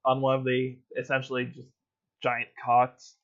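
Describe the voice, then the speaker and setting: A man narrates a tabletop roleplay session as game master, easygoing and loose, dropping in little fillers as he describes the scene. His mic sounds a bit dull and lo-fi. His pitch sits in an ordinary middle range. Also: unhurried. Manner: relaxed, filler-heavy narration.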